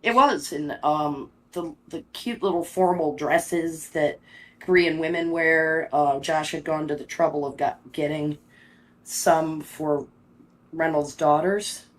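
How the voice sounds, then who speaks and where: A woman with a very low voice for a woman chats casually as a stream co-host. She tells her story in a chatty way, stopping and restarting her sentences. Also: fairly even intonation, slow pace, rough recording. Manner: chatty storytelling with false starts. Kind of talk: casual livestream chat.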